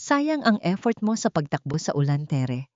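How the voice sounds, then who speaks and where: A woman's voice: low-pitched, very animated, moderate pace, darkish tone. A woman voicing a cruel, scheming rich wife in an audio drama, scornful and taunting. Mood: cold mockery. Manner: taunting, switches between languages. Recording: dull lo-fi audio with room echo.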